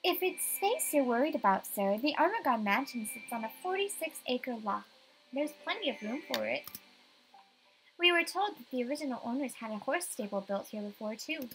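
A woman's voice: sits high, sing-song, moderate pace, a bit bright, a little hiss or hum, heavily acted, rough recording. A woman voicing an eager, polite young female estate agent giving an emphatic sales presentation in a visual novel. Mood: eager, courteous.

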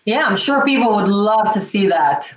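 A woman's voice: mid-range voice, animated, moderate pace. A middle-aged woman, from Israel, hosting a casual cooking chat on a video call from her home kitchen. She is emphatic and repeats her key points. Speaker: cooking show host. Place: home kitchen, indoors, standing back from the camera. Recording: echoey kitchen, distant mic, faint hiss.

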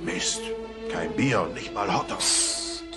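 An actor playing a dim, childish middle-aged cartoon dad in a German dub, boisterous and plain-spoken. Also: male voice, on the low side, huge pitch swings, slow, loud music behind the voice, heavily acted. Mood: grumbling.

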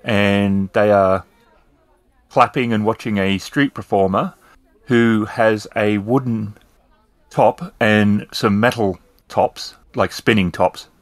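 A man's voice: lowish voice, slow, no room sound. A man improvising descriptive narration as the storyteller of a tabletop roleplaying game. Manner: descriptive, explanatory.

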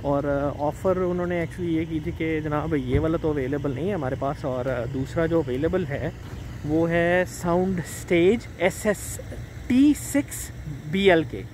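A middle-aged man from Pakistan, chatting casually in his vlog as he explains things slowly and keeps addressing the viewer politely. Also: fairly high pitch, a little mellow, a little hiss or hum. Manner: chatty, explains slowly, says "janab" often. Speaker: vlogger dad. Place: outdoors at a car wash and in a car.

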